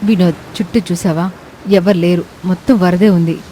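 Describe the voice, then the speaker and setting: A woman's voice: lowish voice, fairly even intonation, normal speed, dark tone, slight background noise, natural voice, low-quality recording. A woman playing a selfish, panicky young wife who complains dramatically in a moral story. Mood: panicked. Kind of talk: Telugu moral story voice acting.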